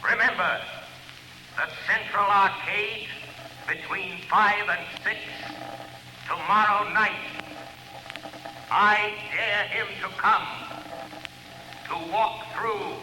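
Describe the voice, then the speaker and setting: An actor playing a mysterious male vigilante who taunts a killer with slow proclamations, in 1930s radio drama style. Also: high voice, steady tone, sharp tone, heavily acted. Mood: taunting. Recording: echoey old radio recording with hiss.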